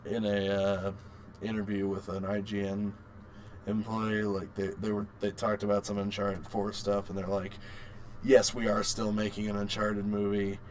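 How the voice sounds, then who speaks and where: A man's voice: on the low side, monotone, normal speed, darkish tone, slight background noise. A man co-hosting a casual podcast chat about games and films, speaking in an opinionated way and repeating phrases for emphasis. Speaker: podcast co-host.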